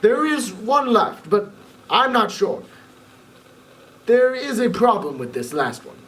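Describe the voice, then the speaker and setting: A man playing an old professor who speaks in a measured, knowing way. There is a slight nervous edge under the dry tone. The voice sounds a little distant from the microphone. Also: sits high, big pitch swings, fairly bright tone, pitched above the performer's own voice, heavily acted. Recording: voice a bit far from the mic.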